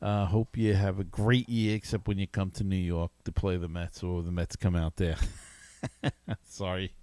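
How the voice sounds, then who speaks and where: A man's voice: sits low, level tone, mellow, no echo. Man hosting a casual sports podcast, close-miked. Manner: halting, conversational. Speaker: podcast host.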